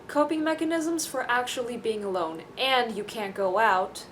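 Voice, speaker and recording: A woman's voice: a little high, fairly bright tone, slight background noise. A young woman from Taiwan talks casually to camera in a rambling, self-deprecating, dryly joking way. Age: young adult. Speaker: vlogger.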